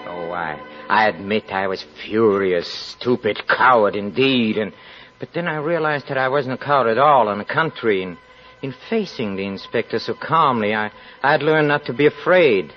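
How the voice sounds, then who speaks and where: An actor playing a proud, guilt-ridden, impoverished young writer in an old-time radio drama, speaking haltingly and tensely. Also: male voice, mid-range voice, animated, soft background music, natural voice. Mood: brooding, agitated. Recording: dull, lo-fi old radio recording.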